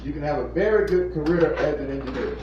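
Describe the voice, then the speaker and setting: A man's voice: on the high side, fast talker. A man in his fifties, a plant manager, giving an earnest, repetitive motivational talk to a group of children. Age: 50s. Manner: earnest, repetitive, preacherly emphasis. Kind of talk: informal motivational talk to kids. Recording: echoey, distant, muffled room recording with faint noise.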